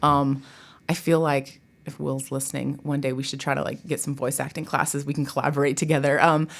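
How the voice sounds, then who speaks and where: Casting: woman, low register, expressive and emphatic conversational delivery, college drama professor type on a podcast. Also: a little fast, gravelly. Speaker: college theatre director and professor, podcast guest. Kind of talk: casual interview chat.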